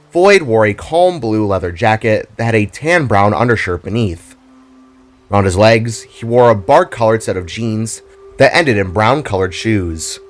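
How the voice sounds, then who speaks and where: A man narrating a scripted dramatic story in a theatrical, storybook manner. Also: fairly low pitch. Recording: music under the voice. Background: background music.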